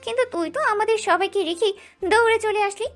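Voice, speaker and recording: Cheeky little sister for an audio romance: tiny, extremely high voice, gushing and teasing, music underneath. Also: female voice, brisk. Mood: defensive, cheeky. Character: chatty, teasing little sister, young girl. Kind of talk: dramatized romantic audio story.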